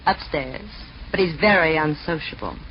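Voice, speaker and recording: Woman acting a femme fatale, lowish voice, cool, mocking, old radio drama, noisy dull recording. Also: moderate pace, lightly acted. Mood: mocking menace. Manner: cool, taunting. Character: sultry, mocking, dangerous female noir femme fatale.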